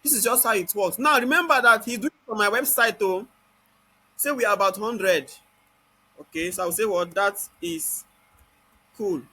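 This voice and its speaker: A Nigerian-accented man giving an informal step-by-step software tutorial, constantly asking rhetorical questions and answering them himself. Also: high voice, moderate pace, long pauses, husky.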